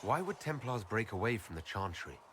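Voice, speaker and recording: A man's voice: lowish voice, sing-song, moderate pace, background noise. An actor playing a courteous, inquisitive male adventurer who leads an order in a fantasy video game. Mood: curious. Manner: inquisitive, gently teasing.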